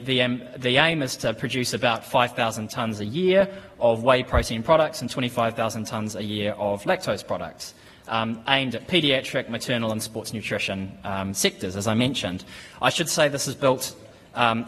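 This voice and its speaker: A man in his thirties with a New Zealand accent gives a conference presentation at a lectern, speaking in a measured, steady way with frequent ums and restarts. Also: mid-range voice. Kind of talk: business presentation. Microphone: lectern microphone. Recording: conference hall with long reverb.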